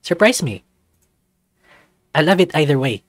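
Man voicing an eager young otter, pitched up. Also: very animated, a little slow, dry recording. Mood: excited. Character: eager, affectionate young male otter, small and lively.

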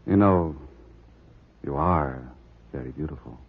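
An actor playing a suave, formal man, a detective's client in a 1940s radio drama, speaking in measured phrases. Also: a bass voice, heavily acted. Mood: flirtatious. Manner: measured, formal, smooth-talking. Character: suave, flirtatious, uneasy wealthy male client. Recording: muffled 1940s radio recording.

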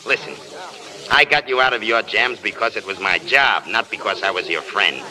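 An actor playing a tough, bitter, sarcastic Hollywood press agent in the style of 1930s radio drama. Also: male voice, fairly low pitch, normal speed, natural voice, low-quality recording. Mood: contemptuous. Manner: sarcastic, wisecracking, contemptuous. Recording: old radio broadcast, crowd chatter behind.

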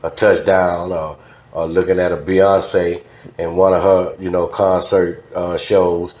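An older man with a Louisiana Southern accent, reminiscing casually as an interview guest in an unhurried, folksy way. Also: low voice, level tone, darkish tone. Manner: unhurried, folksy, with frequent "man" and "you know". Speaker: interview guest, music promoter. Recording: roomy, dull lo-fi sound.

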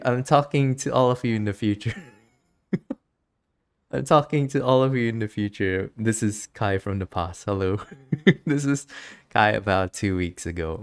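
Low-voiced man, chatty game streamer. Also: clean tone. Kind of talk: casual game commentary.

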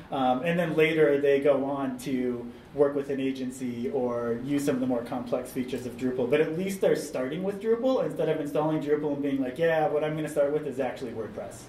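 Guy on a tech panel, kinda higher voice, lots of ums, far from the mic in an echoey room. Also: a little fast, dark tone. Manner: thoughtful, frequent ums, rephrases the question. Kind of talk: panel discussion answer. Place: meeting room. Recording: roomy, distant mic, faint noise.

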